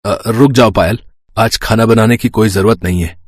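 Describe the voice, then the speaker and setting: A man playing a poor, caring village working man in a cartoon story, earnest and plaintive, with a husky voice. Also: lowish voice, moderate pace, no echo, studio quality, close to an everyday voice. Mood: pleased. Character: poor, self-pitying, caring young rickshaw man.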